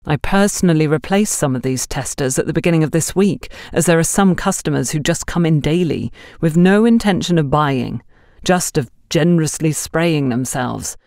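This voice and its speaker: A woman's voice: sits low, normal speed, a little mellow, no echo, studio quality. A woman voicing a stern female shop supervisor in an audiobook, accusatory and sarcastic. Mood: accusing.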